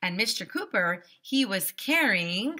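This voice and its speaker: A woman reading a children's story aloud in an expressive, playful way, speaking directly to young listeners as a teacher would. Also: mid-range voice, a little slow. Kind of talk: animated children's read-aloud.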